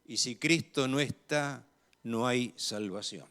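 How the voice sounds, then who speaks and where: A man with an Argentine accent preaching a sermon in a measured, emphatic way, growing louder on key phrases. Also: mid-range voice.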